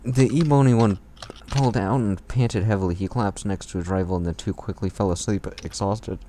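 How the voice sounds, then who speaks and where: A man's voice: lowish voice, steady tone, brisk, mellow. A man reading fan fiction aloud in a casual comedic way, amused, swearing and breaking off to comment. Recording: noisy home recording with clicks and typing.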